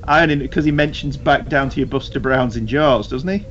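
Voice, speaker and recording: A man's voice: medium pitch, brisk, highs cut off, a crowd in the background. A British man co-hosting a podcast, chatting casually with a wry, joking manner. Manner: wry, joking, breaks into sing-song bits. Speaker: podcast co-host. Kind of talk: casual chat.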